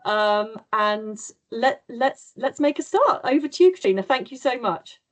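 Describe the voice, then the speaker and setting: A woman's voice: a little high, lively intonation, measured pace. A woman hosting a webinar, welcoming the audience and introducing the guest with a few hesitations. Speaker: host.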